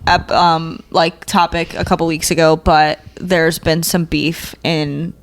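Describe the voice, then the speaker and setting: A woman's voice: sits low, little pitch movement, slow. A woman co-hosting a podcast, bantering casually in a blunt, informal, slangy way. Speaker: podcast co-host.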